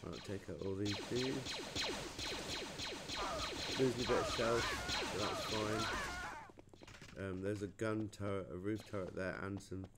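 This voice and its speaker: Low-voiced gamer, noisy recording. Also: male voice, takes it slowly, long pauses. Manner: chatty, informal. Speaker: gamer, playthrough host. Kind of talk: casual game commentary. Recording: noisy home game commentary recording.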